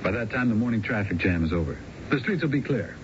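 Through a dull, noisy old radio recording comes a cocky street hustler played by a male actor. He talks in breezy slang with a lively, swinging pitch, self-assured and smooth, in 1970s radio drama style. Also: fast talker, close to an everyday voice. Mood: brisk scheming. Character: cocky smooth-talking small-time male hustler and robber.

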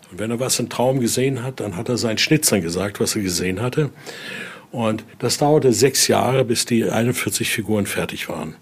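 A man with a lowish, husky voice leads an informal tour of an exhibition. He explains things as a storyteller would, often ending phrases with a brief questioning tag. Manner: frequent question tags at ends of sentences. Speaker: guide and collector showing a Voodoo altar exhibition.

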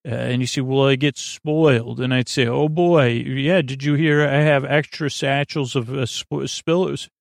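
A man hosting a bedtime podcast, rambling in a halting, meandering way and often trailing off mid-thought. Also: medium pitch, fairly even intonation, dry recording, studio quality. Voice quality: creaky, gravelly. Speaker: podcast host.

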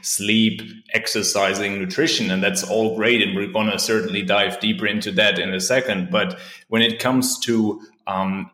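A man's voice: lowish voice, fairly even intonation, moderate pace, few pauses, bright, forceful tone. A man who works as a performance coach, answering interview questions in an upbeat, chatty way. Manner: upbeat, chatty, frequent "right?" and "you know". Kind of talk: casual interview chat. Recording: roomy echo.

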